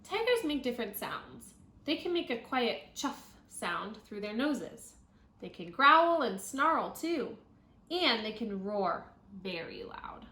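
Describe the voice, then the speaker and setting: High-voiced woman teacher reading aloud, echoey room. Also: very animated, takes it slowly, pushed and bright. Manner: instructional, thinking aloud, prompting young listeners with questions. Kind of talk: read-aloud of a children's nonfiction book with teaching asides. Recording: roomy echo, audible background noise.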